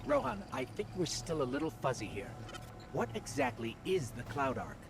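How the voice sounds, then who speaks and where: An actor voicing a small, helpful robotic companion in a video game, with a polite, concerned manner. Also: male voice, on the high side, huge pitch swings, moderate pace, creaky, music in the background, full character voice. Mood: puzzled.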